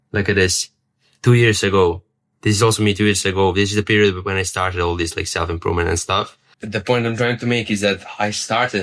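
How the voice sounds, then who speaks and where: A man's voice: on the low side, a bit bright. A young man telling his own story as a vlogger, rambling, repeating words and swearing casually. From Serbia. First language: Serbian. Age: young adult. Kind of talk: personal story to camera.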